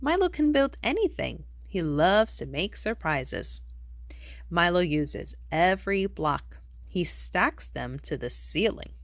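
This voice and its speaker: A woman narrates a rhyming picture book for young children. Her delivery is unhurried and expressive, with pitch that swings widely, and the recording is dry with very little room sound. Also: dry recording. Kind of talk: read-aloud storybook narration in rhyme.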